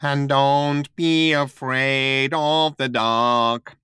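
Cheerful ship computer, male, high, nasal, chirpy, over-friendly, sci-fi audiobook. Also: lively intonation, pushed and bright, no room sound, clean studio sound, full character voice. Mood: crooning. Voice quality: nasal, whining. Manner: chirpy, over-friendly, breaks into slow sentimental song. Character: relentlessly cheerful chirpy male shipboard computer, whiny crooner.

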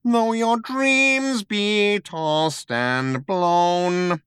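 A relentlessly chipper male spaceship computer, pitched well up and whining through the nose. It is over-friendly and sentimental, in a comic science fiction audiobook, recorded very dry. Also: lively intonation, sharp tone, no room sound, full character voice. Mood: crooning. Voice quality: nasal, whining. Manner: chirpy, over-friendly, breaks into slow sentimental song. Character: relentlessly cheerful chirpy male shipboard computer, whiny crooner.

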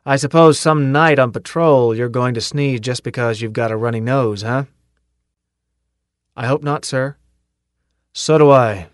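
A man reading an audiobook, voicing a loud, tough male drill sergeant who bellows orders and insults. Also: mid-range voice, measured pace, long pauses, dry recording, studio quality, close to an everyday voice. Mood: barking.

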